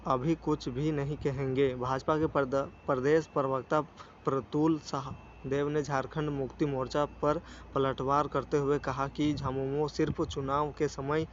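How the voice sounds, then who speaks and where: A man reading a scripted news report in a formal way, stumbling now and then over words. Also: a little high. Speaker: news channel narrator. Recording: dull lo-fi sound with faint noise.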